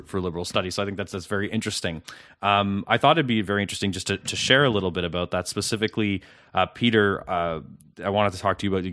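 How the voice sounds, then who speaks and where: Deep-voiced podcast host, halting. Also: male voice, a bit bright, no room sound, clean studio sound. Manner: halting, many fillers and restarts. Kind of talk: interview questions.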